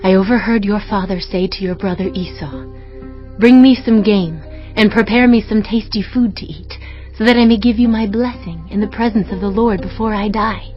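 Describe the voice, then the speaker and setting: A woman's voice: mid-range voice, fairly even intonation, moderate pace, lightly acted. A woman playing a scheming, insistent mother in a dramatized scripture, urgent and conspiratorial. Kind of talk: dramatized audio scripture acting. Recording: dull muffled audio with music.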